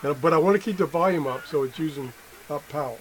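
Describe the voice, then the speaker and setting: A middle-aged man giving an unscripted hands-on demonstration as an amateur tester, halting and correcting himself as he thinks aloud. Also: fairly high pitch. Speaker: amateur product tester and video host. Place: indoor room at a workbench. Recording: noisy room with background sound.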